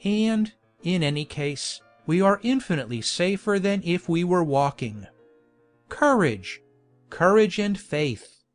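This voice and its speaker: A woman reading an audiobook, voicing a pompous, condescending male chaplain who lectures in a measured way. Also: lowish voice, animated, soft background music, pitched below the performer's own voice. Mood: lecturing. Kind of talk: audiobook character voice.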